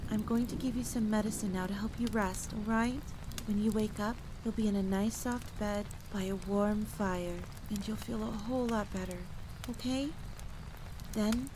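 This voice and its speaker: A woman playing a kind, motherly field medic who comforts a child gently and reassuringly in an audio drama. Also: medium pitch, moderate pace, lightly acted. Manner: gentle, reassuring, sweetly encouraging. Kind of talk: acted audio drama storytelling. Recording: steady rain noise behind the voice.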